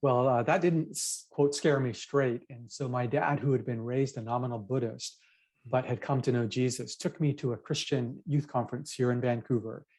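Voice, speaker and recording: A middle-aged man from Japan, a pastor, telling his life story in a measured, reflective way in an interview. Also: medium pitch, steady tone, a little mellow, rough recording. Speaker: pastor and author.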